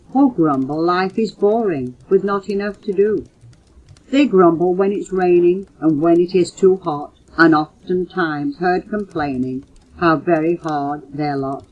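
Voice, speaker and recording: An older woman reading poems aloud in a measured, earnest way, recorded on a muffled old home cassette. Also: lowish voice. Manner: measured, earnest recitation, announcing titles and authors. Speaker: mother reading poems for her children. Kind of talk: recited poetry reading.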